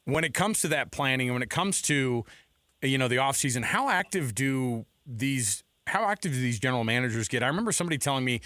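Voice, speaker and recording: A man's voice: mid-range voice, lively intonation, fast talker, bright, forceful tone, no echo. A man hosting casual sports talk radio, joking and teasing, with rambling questions, close on a studio mic.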